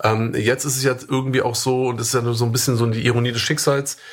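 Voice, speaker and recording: A man from Germany co-hosting a casual podcast, talking in a relaxed, reflective way with many fillers and quick agreements. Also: mid-range voice. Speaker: podcast co-host.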